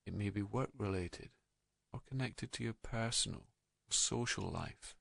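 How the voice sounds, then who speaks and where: A man narrating a guided meditation in a calm, gentle, soothing manner, leaving unhurried pauses between soft phrases. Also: fairly low pitch, creaky, dry recording.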